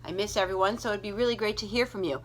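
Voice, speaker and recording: A woman librarian reading aloud to children in an expressive storytelling manner, speaking directly to young listeners. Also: mid-range voice, very fast.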